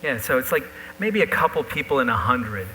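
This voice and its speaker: A man's voice: mid-range voice, very animated, brisk. A man casually telling an anecdote as a guest in a live on-stage conversation. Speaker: panel guest, illustrator. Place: live talk event stage. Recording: noisy live recording with hum.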